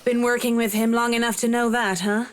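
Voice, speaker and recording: An actress plays an attentive professional woman in a visual novel. Her voice sits a little high, and she stays polite and businesslike with her client. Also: level tone, a little fast, lightly acted. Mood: curious.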